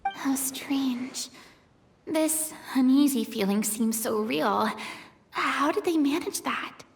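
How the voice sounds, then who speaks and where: A woman's voice: high-pitched, slow, vocal fry, full character voice. A woman playing an observant, candid young adventurer in a video game, talking in a wry, conversational way. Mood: uneasy wonder. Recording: long reverb, faint background noise.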